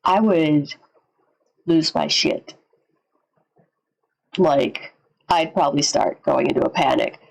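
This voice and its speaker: A woman giving casual live reaction commentary as a streamer, informal and sweary as she thinks out loud. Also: deep voice, takes it slowly, gravelly, long pauses. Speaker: streamer reacting to and analysing horror videos.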